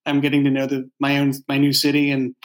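A man speaks in a mid-pitched voice, as a writer chatting about his craft. He explains in a casual, halting way and often circles back to reword what he has begun. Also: completely flat delivery. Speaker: fiction podcast writer and creator. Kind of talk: casual behind-the-scenes discussion.

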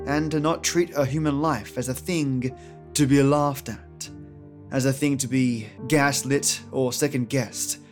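A man reading a scripted podcast introduction as its host, with music behind him. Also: medium pitch. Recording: music under the voice. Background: music, guitar.

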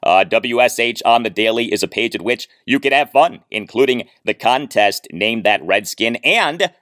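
A man hosting a sports podcast, reading out promotion in a deliberate, list-like way with repeated phrases. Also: mid-range voice, expressive, a bit bright, no room sound, clean studio sound.

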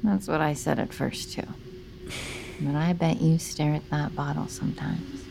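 An actress playing a sinister apparition of a desperate woman's own self, coaxing and taunting in a creaky voice, in an audio drama. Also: deep voice, fairly even intonation, unhurried, background noise. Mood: insinuating.

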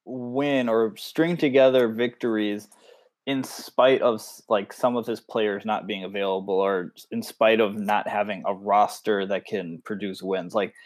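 A man's voice: on the low side, fairly even intonation, unhurried, no echo. A man co-hosting a casual sports podcast, rambling through his points with lots of fillers. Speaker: podcast co-host.

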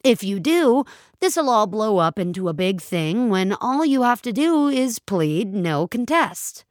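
A woman's voice: mid-range voice, sing-song, unhurried, no room sound, studio quality. A woman voicing a weary male public defender, officious and coaxing, in an audio fiction story. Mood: weary, pleading.

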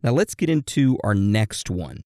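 A man's voice: on the low side, big pitch swings, unhurried, darkish tone, no echo, studio quality. A man co-hosting a casual chat, telling eerie stories. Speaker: podcast co-host.

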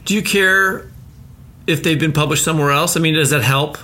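Guy podcast host, kinda high bright voice, chatty and rambly. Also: brisk. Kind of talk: casual, chatty interviewing.

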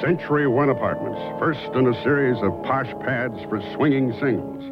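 An actor playing a wry private detective in a 1970s radio drama, with dry, crisp narration and sometimes hesitant talk. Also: male voice, mid-range voice, moderate pace, natural voice. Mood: wry storytelling. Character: wry middle-aged male private detective, narrating his case. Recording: dull radio recording, loud music behind.

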